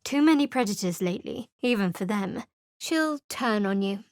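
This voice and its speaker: A woman's voice: mid-range voice, animated, normal speed, no room sound, close to an everyday voice. A woman voicing a guarded, sardonic young cleric adventurer in a video game, cool and wry, recorded on a close studio mic.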